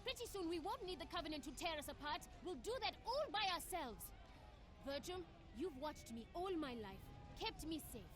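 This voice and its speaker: High-voiced, determined young woman. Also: sing-song, normal speed, over music. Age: young adult. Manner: earnest, urgent, pleading. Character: determined, caring young woman in a city under attack. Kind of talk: dramatic game audio-drama acting. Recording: clear city noise and vehicles behind.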